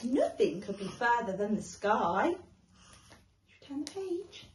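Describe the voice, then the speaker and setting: A young woman reading a children's story as a teacher would, expressive and addressing small children. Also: fairly high pitch, slow. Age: young adult. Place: small living room, on a sofa indoors. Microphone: camera a short distance away. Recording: echoey living room.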